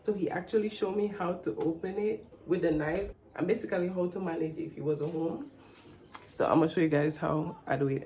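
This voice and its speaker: A woman's voice: fairly low pitch, warm tone, a little hiss or hum. A woman in her thirties vlogging casually, sounding stuffy from the flu and laughing at herself as she chats. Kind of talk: casual travel vlog.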